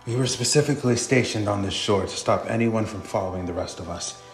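Man reading as a low-voiced raider grunt. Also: fast talker, lightly acted. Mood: resigned defiance. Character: defiant, well-spoken male raider grunt. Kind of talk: reading visual novel dialogue aloud. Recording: roomy echo, faint music behind.

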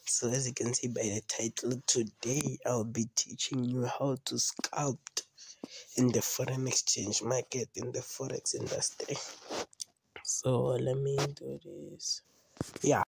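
A man's voice: mid-range voice, steady tone, unhurried, vocal fry, a little hiss or hum, rough recording. A man with a cold hosting a casual trading tutorial over a screen recording, often repeating himself and asking "you understand?". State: has a cold. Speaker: trading video host.

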